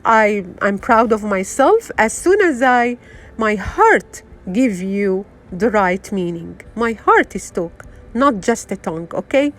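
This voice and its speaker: A middle-aged woman from Syria with a Syrian Arabic accent, giving an unscripted motivational talk, earnest and halting, full of fillers and restarts. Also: medium pitch, very animated. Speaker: wellness vlogger.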